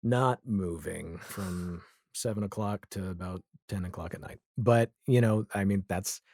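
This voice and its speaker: A man's voice: deep voice, unhurried, a little mellow, no echo, clean studio sound. A man speaking casually and reflectively as a guest in a conversational podcast interview.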